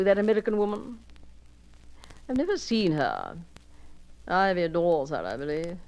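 A woman's voice: mid-range voice, a little mellow, muffled recording. Woman doing a bored, clipped horsey lady. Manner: clipped, languid, offhand. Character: bored, horsey upper-class Englishwoman. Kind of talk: solo character monologue.

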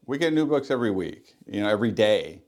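A man working as a librarian, talking loudly and chattily as a radio interview guest, with frequent ums and restarts. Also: fairly low pitch, sing-song, fast. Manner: loud, chatty, many fillers.

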